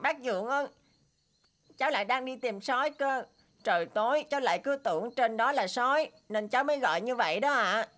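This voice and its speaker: A woman voicing a naive, boastful and stubborn young boy donkey in an animated fairy tale. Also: on the high side, fairly quick. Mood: polite. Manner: boastful, whiny, talks to himself.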